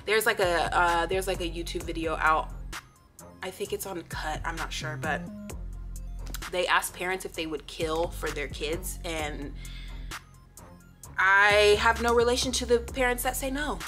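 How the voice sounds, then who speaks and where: A woman's voice: fairly low pitch, steady tone, a little slow, pauses often, bright, forceful tone, faint music behind. A woman in her late twenties from the Pacific Northwest, chatting to camera in a sassy, playful, blunt way. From Pacific Northwest, USA. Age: 20s. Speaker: vlogger. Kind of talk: casual story-time chat to camera.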